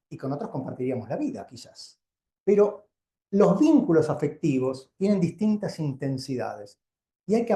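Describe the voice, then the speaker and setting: An Argentine man gives a public lecture in a conversational, didactic way. His voice sits fairly high for a man and has a slightly soft, mellow tone. The sound is roomy and echoing, as in a live hall talk. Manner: conversational, didactic, frequent check-in questions. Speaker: doctor giving a keynote lecture. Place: indoor lecture venue before an audience.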